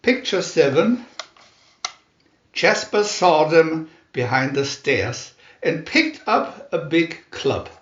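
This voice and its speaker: A man narrating a children's story in a deliberate, expressive read-aloud manner. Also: a little high. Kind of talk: scripted children's story reading. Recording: roomy, echoey, dull sound.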